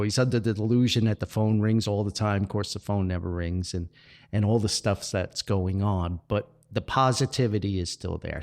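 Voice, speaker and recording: A man hosting a podcast, talking casually as he introduces comedy skits. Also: fairly low pitch. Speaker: podcast host.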